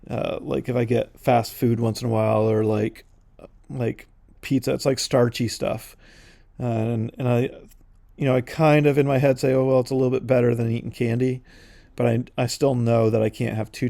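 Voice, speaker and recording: A man's voice: medium pitch, creaky, warm tone, no echo, studio quality. A man in his forties casually rambling as a podcast host while he paints, drifting into side notes with lots of fillers. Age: 40s.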